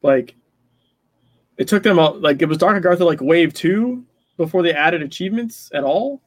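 Male gaming-show guest, slightly high voice, conversational and explanatory, close dry recording. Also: animated, moderate pace, no echo. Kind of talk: casual gaming discussion.

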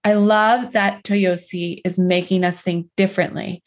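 A woman's voice: fairly low pitch, fairly even intonation, unhurried. A woman hosting a podcast interview, reading an upbeat introduction and then asking friendly questions. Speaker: podcast host.

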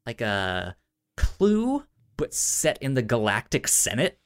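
A man with a stammer chatting casually and enthusiastically as a podcast co-host, often halting on repeated sounds. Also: medium pitch, huge pitch swings, a bit bright, smooth. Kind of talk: casual unscripted podcast chat about entertainment news.

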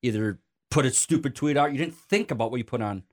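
A man's voice: medium pitch, fast talker. An American man hosting a casual podcast chat, eagerly jumping in with agreement. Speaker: podcast host.

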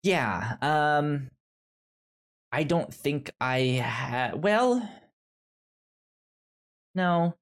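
A man's voice: fairly high pitch, animated, drawn-out words, pauses often. A man co-hosting a movie podcast, talking in a hesitant way, trailing off and restarting his sentences. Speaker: podcast co-host. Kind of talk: casual movie review chat.

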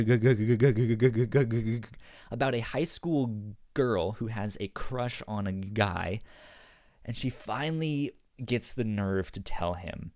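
A man co-hosting a podcast in casual chat, stuttering on syllables and words in an animated, halting way. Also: on the low side, no echo, clean studio sound. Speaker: podcast co-host.

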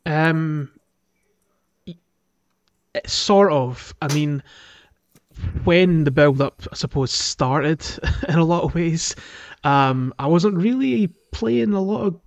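A man hosting a podcast in casual conversation, thinking aloud in a halting way. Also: fairly high pitch, creaky. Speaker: podcast host.

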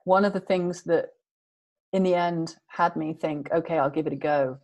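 A woman's voice: lowish voice, moderate pace, a little mellow. A woman guest in an interview, talking earnestly and emphatically in long flowing sentences. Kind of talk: passionate conversational interview.

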